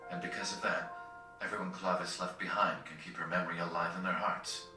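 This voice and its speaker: A man voicing a resolute fighter in a game cutscene, grave, deliberate and earnest. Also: deep voice, fairly even intonation, lightly acted. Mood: solemn resolve. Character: solemn, resolute male resistance fighter. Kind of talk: English-dubbed game cutscene acting. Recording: very echoey, distant game capture under music.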